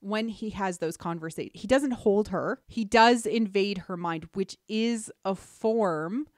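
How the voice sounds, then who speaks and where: A woman's voice: medium pitch, measured pace, dry recording, studio quality. A woman hosting a podcast, talking through ideas analytically in an earnest, emphatic way. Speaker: podcast host.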